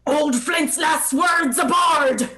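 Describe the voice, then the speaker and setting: A woman's voice: high voice, big pitch swings, extremely slow, sharp tone, shouting, full character voice. A woman playing a jittery, superstitious pirate sailor with rustic speech in a radio play. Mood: frightened. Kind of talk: live radio play acting.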